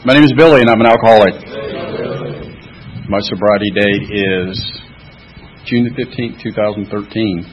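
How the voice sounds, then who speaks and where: Low-voiced Southern man in his fifties. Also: a little hiss or hum. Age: 50s. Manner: halting, many fillers and restarts. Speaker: AA speaker. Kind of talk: personal recovery talk. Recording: dull lo-fi meeting recording.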